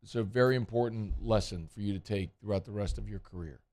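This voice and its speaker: A man's voice: lowish voice, fast talker, darkish tone. A man hosting casual sports talk radio, chatty and rambling, his voice worn and strained. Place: radio studio.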